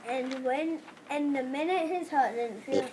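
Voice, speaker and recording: Slow, high-pitched child reader. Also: a little mellow. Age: child, elementary school. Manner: halting, stumbles over words. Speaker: readers theater narrator. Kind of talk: reading a storybook script aloud. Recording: background ambient noise.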